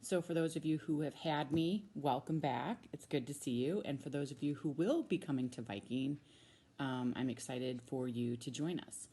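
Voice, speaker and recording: A woman with an American accent, a teacher reading a storybook aloud to children with expressive, drawn-out emphasis on key words. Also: sits low, breathy edge. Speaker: middle school science teacher reading a children's book.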